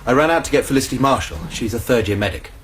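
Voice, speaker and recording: A man's voice: medium pitch, rapid-fire, a bit bright, slight background noise, lightly acted. An actor playing an anxious, agitated young male student in a radio drama. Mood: agitated recounting. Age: young adult.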